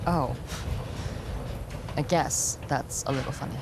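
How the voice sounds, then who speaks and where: Blunt, guarded young adventurer. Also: female voice, a bass voice, slow, noisy background, full character voice. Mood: curious, dry. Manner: blunt, terse, dry. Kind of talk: video game cutscene acting. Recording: loud game score behind. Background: music.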